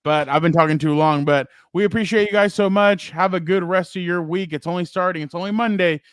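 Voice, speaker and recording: A man co-hosting a casual sports talk show, loud and rambling, often laughing and trailing off. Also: sits high, fairly quick, smooth, no echo, clean studio sound. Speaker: co-host.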